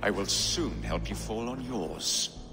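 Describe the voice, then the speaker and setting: A man's voice: fairly low pitch, expressive, slow pace, long reverb tail, music in the background. A haughty medieval king. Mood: scornful. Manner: haughty, scornful. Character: proud stern male Welsh king, middle-aged.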